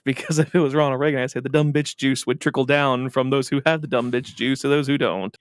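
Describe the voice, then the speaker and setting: A man's voice: mid-range voice, steady tone, fairly quick, clear, resonant tone, no echo. A man hosting a podcast in a casual, jokey, rambling and self-mocking way. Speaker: podcast host. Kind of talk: casual joking podcast chat.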